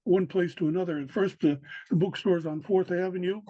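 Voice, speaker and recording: Older New man, halting speech. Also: high voice, steady tone, no room sound. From New York City. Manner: halting, many fillers and restarts. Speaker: interviewee, local historian. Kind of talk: casual recorded interview. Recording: dry, dull lo-fi sound.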